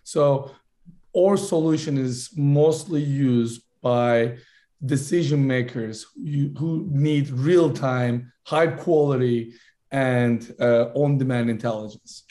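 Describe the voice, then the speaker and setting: A man from Turkey, a founder making a fluent business pitch in a podcast interview, correcting himself on the fly. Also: slow pace, dark tone. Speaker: startup founder guest.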